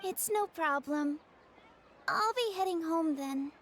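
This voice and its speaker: A woman's voice: extremely high pitch, slow pace, background noise. A woman voicing a modest, polite and reserved young child in a video game. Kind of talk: animated video game voice acting. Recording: faint game music behind.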